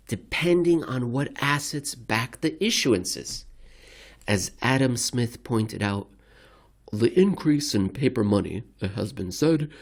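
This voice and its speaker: Guy doing an asmr style readout of a serious essay, measured and deliberate, kinda formal. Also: mid-range voice. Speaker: reader, narrator. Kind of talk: ASMR reading of an economics commentary.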